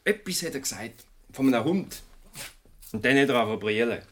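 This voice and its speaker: Man as a lively, chatty Swiss junior policeman. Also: mid-range voice, fast, natural voice. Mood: chatty, amused. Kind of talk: radio play acting.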